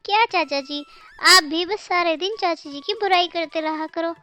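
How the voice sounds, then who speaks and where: A woman as a high-pitched nagging crow wife. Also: normal speed, pushed and bright, faint music behind. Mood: pleading, fretful. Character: nagging, exasperated crow wife and other female birds. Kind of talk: Hindi cartoon voice acting for children.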